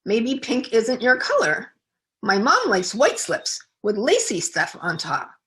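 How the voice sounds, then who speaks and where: An innocent little girl from a storybook, played by a woman reader. She is earnest, friendly and takes everything literally, speaking matter-of-factly with an animated, swinging pitch. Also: takes it slowly, exaggerated voice.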